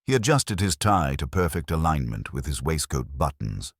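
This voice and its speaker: Extremely deep, solemn male narrator. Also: very animated, slightly rough, dry recording, studio quality. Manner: solemn, measured, deliberate. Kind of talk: scripted mystery narration.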